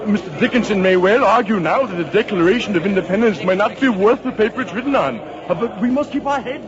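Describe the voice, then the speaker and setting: An actor playing a flustered but resolute colonial delegate in an old-time radio drama, halting and earnest. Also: male voice, high voice, lively intonation. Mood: shaken, resolute. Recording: muffled vintage radio, loud crowd behind.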